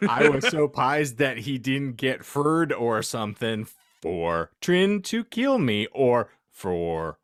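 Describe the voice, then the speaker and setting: Slow, wildly swooping man reading theatrically. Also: medium pitch, very animated, a bit bright, no room sound, clean studio sound. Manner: theatrical delivery, often breaking into laughter. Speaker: podcast host. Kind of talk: comic dramatic reading of misspelled fan fiction.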